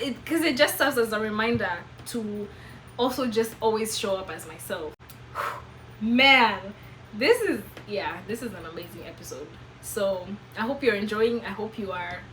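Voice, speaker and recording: A woman's voice: fairly high pitch, bright, forceful tone, a little hiss or hum, poor audio. A woman in her twenties with a Kenyan accent, telling a personal story to camera in an emphatic, preachy way. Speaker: vlogger.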